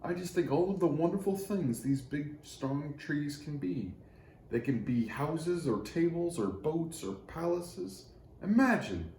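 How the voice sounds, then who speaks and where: Small wistful young tree for a storybook, played by a man, soft-edged and mellow, in a roomy space. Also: fairly high pitch, lively intonation, unhurried, pitched above the performer's own voice. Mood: dreamy longing. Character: sad, wistful scrawny little male tree. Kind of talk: children's storybook character. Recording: roomy echo, faint noise.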